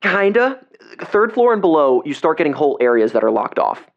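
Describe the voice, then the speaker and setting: An actor playing a cocky, defensive seventeen-year-old amateur explorer in an audio drama, talking casually and bristling when challenged. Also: male voice, a little high, very animated, brisk, creaky, muffled recording. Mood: evasive, casual. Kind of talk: audio drama phone dialogue.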